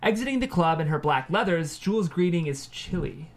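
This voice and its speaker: A man with a somewhat high voice narrates a screenplay at a table read. He reads scene headings and stage directions as scripted narration. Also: expressive, fairly quick.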